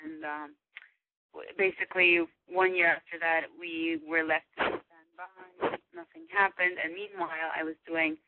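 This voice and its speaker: A woman's voice: fairly low pitch, unhurried, vocal fry. Young woman on a phone line. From Mexico. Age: 20s. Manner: rambling, many restarts and fillers. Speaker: radio show guest, singer. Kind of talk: phone-in radio interview. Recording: tinny dry phone line.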